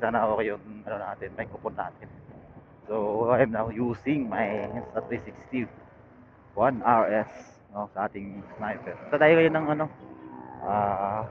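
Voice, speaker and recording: Casting: male Filipino moto vlogger, casual halting chat, tinny narrow intercom sound, loud engine and road noise. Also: medium pitch. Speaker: motorcycle vlogger. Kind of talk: casual unscripted ride chat to viewers. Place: outdoors, riding a motorcycle. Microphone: helmet intercom headset linked to action camera. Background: loud motorcycle engine and road noise.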